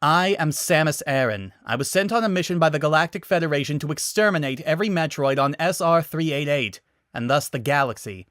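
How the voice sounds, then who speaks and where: Guy doing a tough bitter lady bounty hunter, raised pitch, spits her words out, sci-fi story. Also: lively intonation, fairly quick, no echo, studio quality. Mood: strained, forceful. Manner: terse, forceful, spitting out words. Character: hardened female bounty hunter, bitter, conflicted, fierce.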